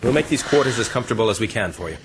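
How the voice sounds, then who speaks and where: An actor playing an earnest, courteous gentleman officer in a seafaring radio drama. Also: on the low side, expressive, racing, noisy background, natural voice. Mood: courteous, warning. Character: earnest, anxious gentleman officer on a sailing ship. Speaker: ship's officer, addressed as mister. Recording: audio drama with loud sea ambience.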